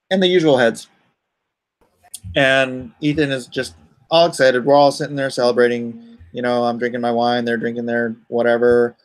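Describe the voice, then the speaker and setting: A man with a mid-range voice hosts a casual livestream. He talks slowly and haltingly, thinking out loud. His manner is informal, with excitable exclamations as he addresses his viewers. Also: medium pitch. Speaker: livestream host and comic artist. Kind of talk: casual livestream chat, reacting and thanking viewers.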